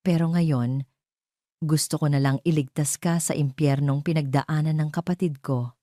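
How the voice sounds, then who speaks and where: Woman acting a sorrowful, sincere friend, low-voiced. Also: steady tone, normal speed, dark tone, no room sound, clean studio sound, natural voice. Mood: earnest, protective. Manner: earnest, subdued. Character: sincere, sorrowful female friend confessing a vengeful plan.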